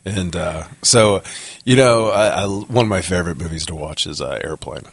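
A man co-hosting a casual podcast, hoarse from a cold, rambling with many fillers and restarts. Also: low voice, moderate pace. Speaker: podcast co-host. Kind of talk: casual chat. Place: office.

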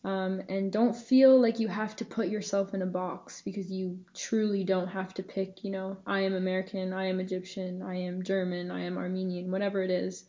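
A young woman speaks as a guest on a podcast, earnest and thoughtful, pausing on fillers. Her voice is mellow and fairly flat in pitch. The recording is dull and lo-fi. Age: young adult. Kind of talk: casual podcast interview.

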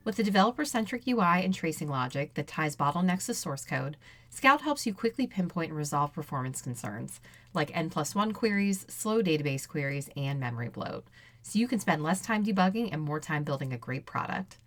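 A woman speaking as the host of a podcast, in a conversational and clear-worded way. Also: low voice, lively intonation, a little fast, dry recording. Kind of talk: conversational interview with sponsor read.

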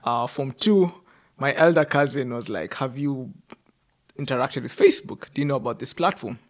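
A man's voice: mid-range voice, moderate pace. A man from near Nairobi with a Kenyan accent, telling stories casually as an interview guest in informal, slangy speech. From outskirts of Nairobi, Kenya. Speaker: interview guest, startup founder. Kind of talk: casual conversational storytelling.

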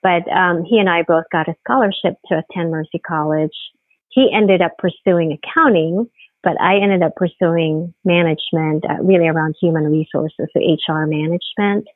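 A middle-aged woman from the Philippines, a company head, talking haltingly in a podcast interview with many fillers and restarts. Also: fairly low pitch, no echo. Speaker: guest, firm CEO. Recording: tinny phone-like line.

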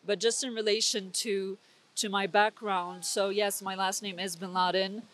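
A woman's voice: medium pitch, little pitch movement, normal speed, a bit bright. Intense woman from Switzerland, urgent. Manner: intense, urgent, with frequent restarts. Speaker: interview guest. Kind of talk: earnest political commentary. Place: conference venue.

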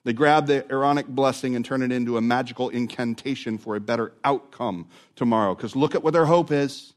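A male pastor preaching a sermon, dropping to a near whisper and then rising again, stretching out key words. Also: medium pitch. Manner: dramatic swings from loud to hushed, drawn-out words.

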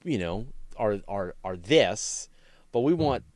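A man with a fairly low voice hosts an interview in a casual, conversational way. He thinks aloud through long, informal questions, recorded dry with no room sound. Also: animated, takes it slowly, a little mellow, dry recording. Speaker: interviewer, host.

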